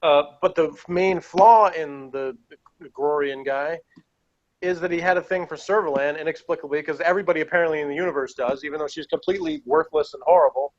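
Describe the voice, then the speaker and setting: Man, slightly high voice, blunt opinions, casual review chat, slightly muffled lo-fi sound. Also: steady tone, fairly quick. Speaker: podcast reviewer.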